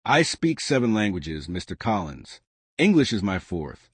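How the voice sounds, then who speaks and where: A man voicing a calm young waitress. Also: lowish voice, slightly rough, no room sound, exaggerated voice. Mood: calm dignified. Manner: measured and steady. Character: soft-spoken young immigrant waitress, calm and dignified.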